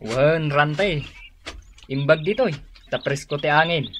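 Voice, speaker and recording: A man's voice: a little high, slow, fairly bright tone, a little hiss or hum. A man playing a friendly, easygoing village labourer in a comedy radio drama. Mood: relaxed.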